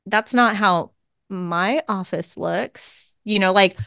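A woman's voice: mid-range voice, slow pace, vocal fry, sharp tone, clean tone, no echo, studio quality. A woman co-hosting a podcast in casual chat from her home office, wry and self-mocking, laughing often. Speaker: podcast co-host.

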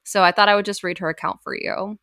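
A woman's voice: medium pitch, fairly even intonation, fast talker, sharp tone, no echo, studio quality. A woman hosting a podcast, telling a story in a casual, chatty way with lots of filler words and playful asides. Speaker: podcast host.

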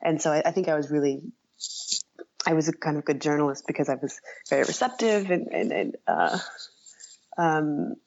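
A woman's voice: low voice, expressive, normal speed, a little mellow, dull audio. A woman being interviewed as a writer, speaking casually and reflectively in a hesitant, self-effacing way.

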